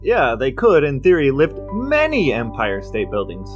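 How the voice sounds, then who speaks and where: A man's voice: mid-range voice, wildly swooping pitch, normal speed, highs cut off, faint music behind. A man co-hosting a podcast, relaying researched facts in a dry, matter-of-fact way. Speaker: podcast co-host. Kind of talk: informative podcast talk, reading out researched facts.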